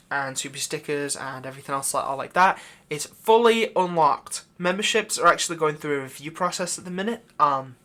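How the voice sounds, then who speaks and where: A man's voice: a little high, bright, forceful tone. A man hosting his own channel, giving a casual, unscripted update in a rambling, hesitant way. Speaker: channel host, audio roleplay creator.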